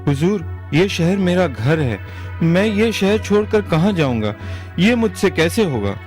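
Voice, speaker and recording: A man plays a humble, honest merchant in debt for a cartoon moral tale. His pleading voice is rather high and very lively, with light music underneath. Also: unhurried. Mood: distressed. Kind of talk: animated moral story acting in Hindi. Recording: music bed behind the voice.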